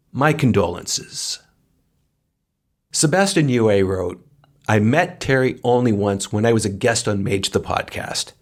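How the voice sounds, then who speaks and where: Male podcast co-host, medium pitch, solemn and sincere delivery of read-aloud tributes. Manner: earnest, solemn.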